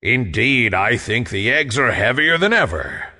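A man voicing a huge, bullying male giant in a storybook, booming and commanding as he speaks. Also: very animated, a little slow, sharp tone, in a large hall, full character voice. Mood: gruff. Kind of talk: storybook character voice.

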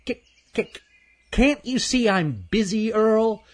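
A man's voice: sits high, animated, slow pace, voice pushed up. A man voicing a timid, indignant male squirrel with a stutter in a children's story. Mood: flustered, defensive. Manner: defensive, flustered.

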